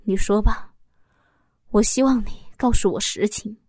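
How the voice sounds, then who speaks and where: A woman's voice: medium pitch, a little slow, warm tone, slightly rough, dry recording. A woman voicing a heartbroken, bitter husband in a storybook reading. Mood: weary. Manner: bitter, sneering. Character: heartbroken bitter husband, middle-aged man.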